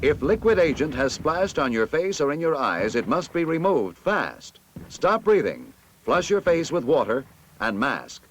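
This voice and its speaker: An American man reads scripted narration for a vintage army training film in an authoritative, instructive manner with clear enunciation. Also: fairly high pitch, sing-song, a little slow, a little hiss or hum.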